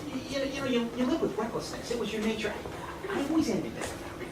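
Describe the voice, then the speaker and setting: A man playing a weary, nostalgic man in his fifties, speaking deliberately and reflectively in a theatrical stage performance. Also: very high voice, big pitch swings. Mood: exasperated. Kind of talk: theatrical stage monologue and dialogue. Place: small indoor theatre stage. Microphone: far camera. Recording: echoey theatre stage, distant noisy camera mic.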